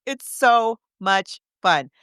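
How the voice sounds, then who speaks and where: A woman's voice: medium pitch, expressive, very slow, fairly bright tone, clear, resonant tone, no echo, clean studio sound. A woman hosting a podcast, discussing film casually in an enthusiastic, chatty, emphatic way. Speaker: podcast host.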